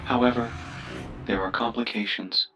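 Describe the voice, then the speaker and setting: Man playing a low, formal ship computer. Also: fairly even intonation, bright, forceful tone, recorded from a distance, muffled recording, soft background music. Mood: measured, formal. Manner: formal, deferential, measured. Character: polite, cautious male ship computer AI, formal and curious. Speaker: ship's computer voice assistant. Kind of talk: scripted sci-fi game voice pack.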